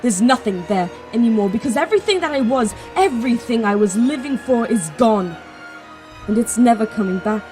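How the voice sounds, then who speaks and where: A woman's voice: a little high, expressive, a little fast, dark tone, soft background music, close to an everyday voice. A woman playing a grieving young princess in an audio drama, bitter, blunt and bleak. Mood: bitter despair.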